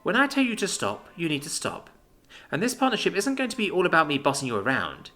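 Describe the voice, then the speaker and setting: Man putting on a fretful young shut-in woman, high voice, precise, bright tone. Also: wildly swooping pitch, fast, faint music behind, heavily acted. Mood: earnest. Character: fussy reclusive young woman, anxious and precise. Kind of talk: audiobook character voice.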